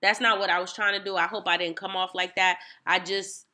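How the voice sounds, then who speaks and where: A woman's voice: lowish voice, flat delivery, brisk, sharp tone. Congested young woman podcast host. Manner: earnest, thoughtful, hedging with fillers. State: congested, nasal. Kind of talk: casual group chat.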